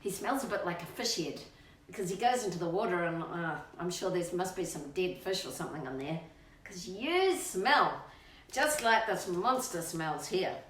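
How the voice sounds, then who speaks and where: A woman's voice: fairly low pitch, expressive, normal speed, a bit bright, a little hiss or hum. A middle-aged Australian woman chatting to children and a pet in a playful, coaxing storytime manner, with sing-song questions. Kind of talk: chatty children's storytime, talking to kids and her dog. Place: indoors at home, on a couch. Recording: roomy echo, distant microphone.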